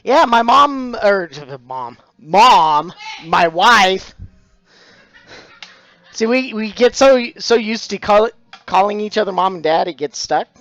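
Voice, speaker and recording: A man's voice: high voice, animated, slow pace, fairly bright tone, no room sound, lo-fi sound. Chatty dorky middle-aged man. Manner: chatty, dorky dad humor, thanks followers. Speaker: stained glass streamer. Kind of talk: casual live-stream chat.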